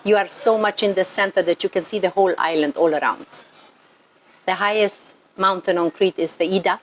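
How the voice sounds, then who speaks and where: A woman's voice: lowish voice, fairly quick, rough recording. A woman from Croatia with a Croatian accent explains things off the cuff in English as a non-native speaker, earnest and emphatic, repeating words for stress. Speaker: local tourism worker. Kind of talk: unscripted explanatory talk to camera. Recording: noticeable ambient background noise.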